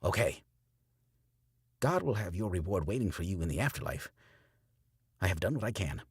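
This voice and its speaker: A man voicing a ranting old backwoods preacher who shouts fire-and-brimstone accusations. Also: deep voice, sing-song, moderate pace, breathy edge, dry recording, studio quality, heavily acted. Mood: subdued.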